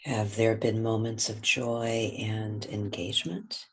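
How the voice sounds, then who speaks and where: A man leading a guided meditation in a gentle, unhurried way, with long pauses between phrases. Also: fairly low pitch, steady tone, husky.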